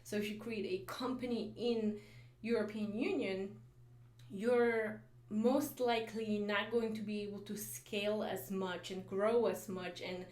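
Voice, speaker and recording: A woman, a software engineer giving career advice in an earnest vlog, thinking aloud with fillers and restarts. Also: medium pitch, level tone, measured pace. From Poland. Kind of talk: casual explanatory vlog talk. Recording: roomy echo.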